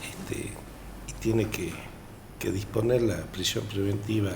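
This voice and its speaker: A man with an Argentine accent, sitting on an interview panel as public defender and asking questions in a halting, rephrasing way. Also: lowish voice, creaky, darkish tone, a little hiss or hum. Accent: Argentine Spanish. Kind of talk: formal questioning.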